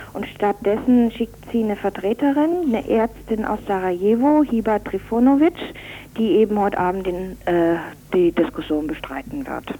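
A woman's voice: mid-range voice, narrow, tinny audio. A woman speaking spontaneously as an interviewed event organiser, earnest and opinionated, searching for words as she goes. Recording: faintly noisy 1990s radio recording.

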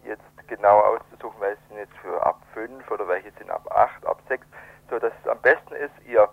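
A man being interviewed, explaining things in a halting way and searching for words, over a telephone. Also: on the low side, creaky. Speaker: event organiser, interviewed guest. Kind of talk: spontaneous radio interview by phone. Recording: narrow tinny telephone line.

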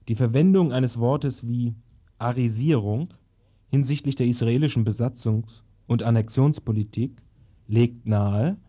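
A man reading a prepared political statement in a formal, measured, deliberate way. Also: mid-range voice. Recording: dull lo-fi radio recording.

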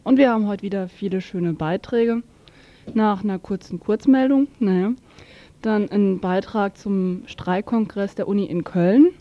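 A woman's voice: medium pitch, unhurried, lo-fi sound. A woman presenting a radio news overview in an informal way, stumbling now and then over announcements.

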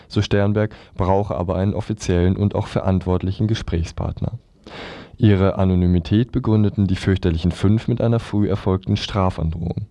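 A man's voice: low-pitched, mellow, no room sound. A man presenting scripted news on the radio.

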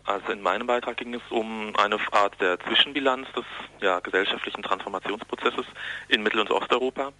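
Schnell sprechender Wissenschaftler mit sehr heller, scharfer Stimme. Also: male voice, lowish voice, steady tone, sharp tone, a little hiss or hum, poor audio. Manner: academic, halting mid-sentence to rephrase. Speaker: interviewed academic researcher. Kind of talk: analytical interview answers.

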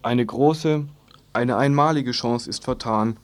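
A man's voice: medium pitch, slow pace. A man reading a scripted, satirical news commentary on the radio with an ironic manner.

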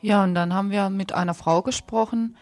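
A woman co-presenting radio news, giving loosely scripted announcements with hesitant pauses in mid-sentence. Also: medium pitch, steady tone, a little mellow, no room sound.

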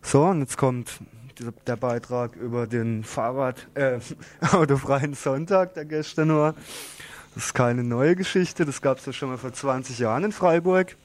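A man hosting an unscripted radio interview, talking informally and a little haltingly as he presents and asks questions. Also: medium pitch.

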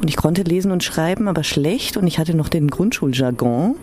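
A woman's voice: low voice, lively intonation, fairly quick, dark tone, a little hiss or hum. A woman who grew up in Iran and Germany, sharing personal memories as an interviewee.

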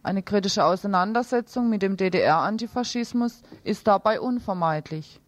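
A woman co-presents radio news, reading out reports and announcements. Her voice is of average pitch and is heard dry, with very little room sound around it. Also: normal speed, dry recording.

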